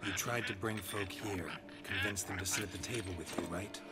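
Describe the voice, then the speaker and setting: Gruff fantasy game hero male actor: American, middle-aged, bottom-register gravel, flat clipped delivery, unflappable, over loud music. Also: sits low, fairly quick, lightly acted. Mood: calm, coaxing. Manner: terse, deadpan, clipped sentences. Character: gruff, stoic monster hunter, middle-aged man. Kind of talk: video game cutscene acting.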